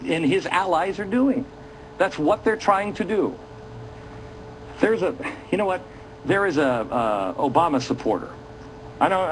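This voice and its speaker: A man's voice: on the high side, a little hiss or hum, low-quality recording. A man hosting a political commentary show, talking to viewers in a dramatic, indignant and sarcastic manner.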